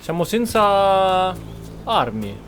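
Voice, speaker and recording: A man's voice: sits high, big pitch swings, slow, a bit bright. A man giving casual roleplay commentary while playing a video game, with game music behind him. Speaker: gaming streamer.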